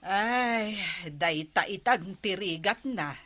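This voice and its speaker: A woman's voice: medium pitch, expressive, slow pace, fairly bright tone. A woman playing a chatty, kind-hearted village housewife in a comedy drama. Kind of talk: comedy radio drama acting in Ilocano.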